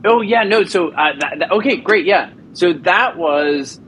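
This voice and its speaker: A man, a producer and guest in a casual interview, speaking in an easygoing way and laying out a story pitch clearly. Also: lively intonation, a little slow, fairly bright tone, slight background noise, rough recording.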